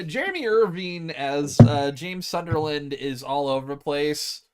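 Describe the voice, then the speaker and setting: Higher-voiced man explaining films casually. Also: smooth. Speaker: podcast co-host reviewing films. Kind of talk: casual group film discussion.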